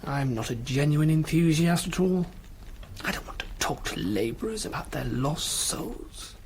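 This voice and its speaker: An actor playing an idealistic, self-doubting young gentleman agitator, earnest and well-spoken, in a radio drama. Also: fairly high pitch, animated, measured pace, a little hiss or hum. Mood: musing.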